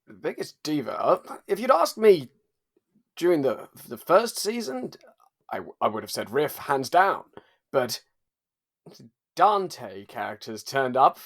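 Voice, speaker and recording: A man acting a high, swooping, catty gossip. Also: big pitch swings, takes it slowly, exaggerated voice. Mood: exasperated gossip. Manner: mimics others mockingly, exaggerated. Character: catty, exasperated cast member gossiping about a diva. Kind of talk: mock documentary interview.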